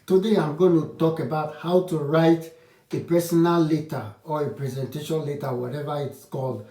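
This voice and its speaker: A man's voice: on the high side, fairly even intonation, normal speed, warm tone. A man giving casual advice to his channel's viewers, frank and opinionated, often restarting his sentences. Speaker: vlogger. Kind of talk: casual advice talk to camera. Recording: roomy echo, distant from the mic.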